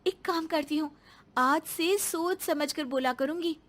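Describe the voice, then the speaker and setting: A woman's voice: high-pitched, a little slow, pitched above the performer's own voice. A woman voicing a whiny, flustered young daughter-in-law in a cartoon story, exclamatory and dramatic. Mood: whiny, tired.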